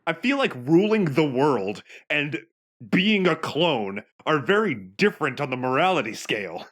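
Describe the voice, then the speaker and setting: Very animated man, incredulous game commentary. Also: fairly high pitch, moderate pace. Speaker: let's play co-commentator. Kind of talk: casual chat, joking game commentary. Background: game music.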